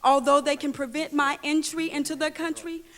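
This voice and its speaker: An actress plays a passionate woman activist with a high voice, addressing a hall with long reverb. She declaims deliberately and emphatically, defiant throughout her staged political address. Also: fairly even intonation, a bit bright. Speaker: political speaker.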